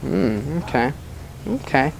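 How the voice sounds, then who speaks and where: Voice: mid-range voice, sing-song, slow pace, vocal fry, crowd noise. Teacher reading aloud and questioning a class. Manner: prompting children with questions, acknowledging their answers. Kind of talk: read-aloud storytelling and classroom discussion.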